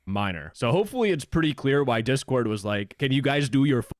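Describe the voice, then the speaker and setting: Animated male explainer, very lively intonation. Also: mid-range voice, brisk, no room sound, studio quality. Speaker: video essayist. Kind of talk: scripted explanatory commentary, informal.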